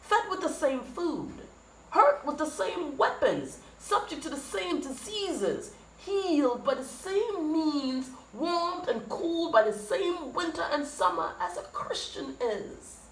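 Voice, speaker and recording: A woman's voice: high-pitched, sing-song, slow pace, full character voice. Lady doing shakespeare merchant out for revenge, bitter n fiery, voice leaping around, echoey room. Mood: impassioned, indignant. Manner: emphatic rhetorical questions, hammered lists of grievances. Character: vengeful wronged merchant, bitter and impassioned, pleading fiercely. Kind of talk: Shakespearean dramatic monologue. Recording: echoey room with faint noise.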